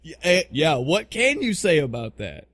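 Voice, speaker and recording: A man's voice: on the high side, sing-song, moderate pace, fairly bright tone, no room sound. A man in his thirties chatting casually on a podcast, blunt and joking, swearing freely and repeating phrases for emphasis. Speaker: podcast co-host. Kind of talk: casual opinionated podcast chat.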